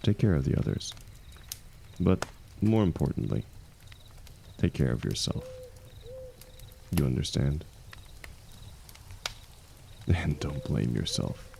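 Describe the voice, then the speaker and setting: A man playing an aging, wounded warrior, the hero's loyal and gentle shield, speaking softly and haltingly in an intimate audio roleplay. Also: very deep voice, big pitch swings, creaky, a little hiss or hum, full character voice. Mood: resigned, earnest. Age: older. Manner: soft, halting, confiding, reassuring.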